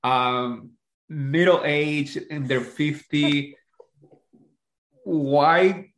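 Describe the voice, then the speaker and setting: A man from New Jersey co-hosting a casual reality TV recap, talking in a dramatic, halting way and repeating himself. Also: fairly high pitch, a bit bright, echoey room, slight background noise, poor audio. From Jersey City, United States. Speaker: podcast co-host.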